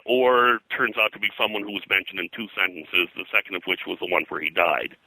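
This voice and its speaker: A man's voice: low-pitched, fairly quick, gravelly, pushed and bright, rough recording. An American man speaking as an interview guest, a pop culture historian telling detailed anecdotes in a rambling, digressive way.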